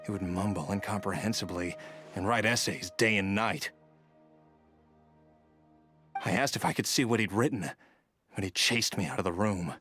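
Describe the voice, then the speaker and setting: A man's voice: fairly low pitch, fairly quick, a bit bright, soft background music, natural voice. A man playing a bitter, entitled grown son in an anime-style game, speaking in a sneering, resentful, theatrical manner. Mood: brooding. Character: bitter, entitled grown son scorning his estranged father.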